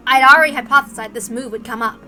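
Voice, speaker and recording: A woman voicing a calm, confident young male fighter in a visual novel, deliberate and coolly menacing. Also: high voice, soft background music. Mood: smugly calm.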